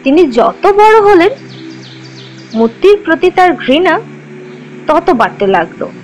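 A woman playing a young cartoon storyteller who teaches children religious tales in an expressive, instructive way. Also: extremely high pitch, slow pace, dull audio, faint music behind, heavily acted. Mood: instructive, engaged.

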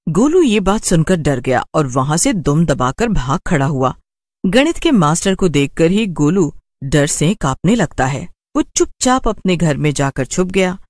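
A man's voice: a little high, big pitch swings, measured pace, no echo, studio quality. A man narrating a scripted children's horror story as a storyteller.

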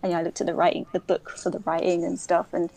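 A woman's voice: lowish voice, level tone, fast talker, creaky, mellow, low-quality recording. Chatty young London woman, podcast guest. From England. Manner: chatty, frequent ums and self-corrections. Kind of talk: casual conversational storytelling.

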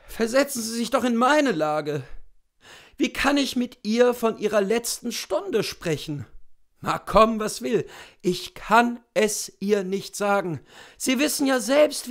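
A man voicing a distressed gentleman husband, earnest and pleading, in a dramatised classic story reading. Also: extremely high pitch, animated, takes it slowly, vocal fry, pitched above the performer's own voice, exaggerated voice. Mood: anguished.

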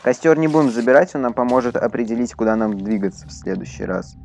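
Male let's player, medium pitch, informal and talkative, addressing viewers during gameplay. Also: lively intonation, quick pace, gravelly, a little mellow, soft background music. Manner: chatty, talks to his horse and to viewers. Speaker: gaming YouTuber. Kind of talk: casual Minecraft let's play commentary.

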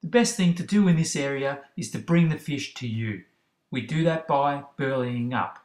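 Man giving steady fishing instructions. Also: medium pitch, moderate pace, a little hiss or hum. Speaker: fishing channel host. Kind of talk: instructional voice-over about kayak fishing spots. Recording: roomy, echoey recording.

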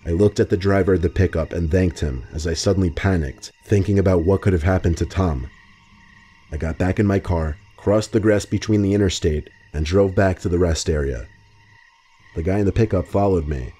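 A man narrating scripted true horror stories in a steady, even way. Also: low-pitched, fairly quick, slightly rough. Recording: faint music under the voice.

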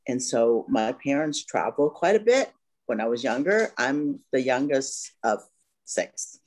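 A woman's voice: very deep voice, expressive, unhurried, darkish tone, poor audio. A woman in her fifties, from Vietnam, talking casually over a laptop microphone on a video call. She speaks hesitantly, stopping often for "you know" and restarting her sentences. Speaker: guest. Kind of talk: casual interview chat. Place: home room by a bright window.

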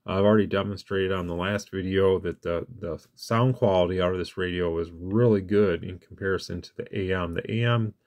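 A man's voice: low voice, fairly even intonation, brisk, hardly any pauses, a little mellow. A man hosting a casual hands-on radio gear demo, talking in a plainspoken, informal way. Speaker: radio review host. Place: indoors at an equipment bench.

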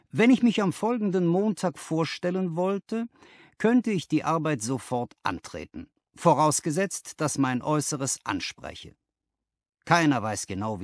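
A man's voice: on the high side, sing-song, measured pace, no echo, clean studio sound. A man voicing a frank, rueful young London clerk telling his story colloquially in an audiobook. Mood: matter-of-fact.

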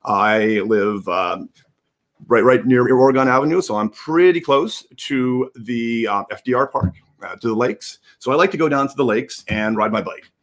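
A man's voice: mid-range voice, normal speed. A middle-aged man, a livestream producer, talking casually on a video call from a room at home. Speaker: producer, co-host. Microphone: video call mic.

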